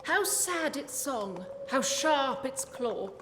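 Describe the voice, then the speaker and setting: A woman playing a shrewd Victorian gentleman detective in a radio play, speaking formally and precisely. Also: sits high, lively intonation, slow, a little hiss or hum, heavily acted. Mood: urgent, grave. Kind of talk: comic radio play acting. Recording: long hall reverb.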